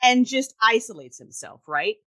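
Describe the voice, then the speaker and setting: A woman chats casually and with strong opinions about film. Her pitch moves around a lot, giving her an animated sound. She is emphatic and blunt, and swears freely. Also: normal speed. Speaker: guest, audio drama creator.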